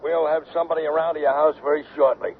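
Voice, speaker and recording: An actor playing a businesslike gas company emergency operator heard over the telephone. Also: male voice, on the high side, little pitch movement, quick pace, phone-quality sound. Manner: businesslike, clipped. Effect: telephone filter.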